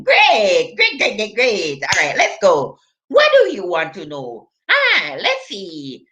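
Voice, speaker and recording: Animated, high-pitched woman clowning on a live stream. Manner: playful, exclamatory, with tags like "oh" and "eh". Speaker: live stream comedian co-host. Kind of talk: comic live stream banter, putting on accents.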